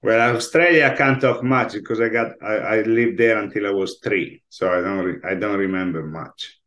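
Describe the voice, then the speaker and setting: A man's voice: fairly low pitch, moderate pace, fairly bright tone. A man in his forties with an Italian accent, chatting as a guest chef over a video call from home. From Italy. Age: 40s. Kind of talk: casual interview chat. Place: home room, indoors. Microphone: video call, laptop mic.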